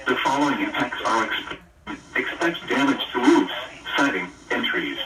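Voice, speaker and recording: Robotic guy reading storm warnings on weather radio, flat and formal, mid pitch, sounds far from the mic. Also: normal speed. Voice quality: synthetic text-to-speech voice. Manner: flat, formal, list-like delivery. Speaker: automated weather radio announcer. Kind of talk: scripted emergency weather warning readout. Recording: distant weather radio through a speaker.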